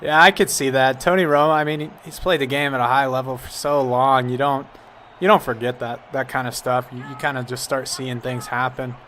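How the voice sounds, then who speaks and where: A man chatting through color commentary for a football stream, rambling and restarting his sentences as he thinks aloud. His voice sits at a middle pitch. Faint background noise runs under his talk. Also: little pitch movement, a little fast, fairly bright tone. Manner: chatty, rambling, with frequent restarts and "you know".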